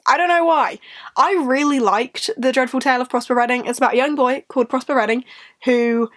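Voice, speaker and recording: A woman with a fairly high voice hosts a sit-down book vlog. She sounds a little congested, as if getting over a cold. Her delivery is casual and rambling, addressed straight to her viewers. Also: brisk, a bit bright. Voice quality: slightly congested, bunged-up nose. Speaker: book vlogger, host.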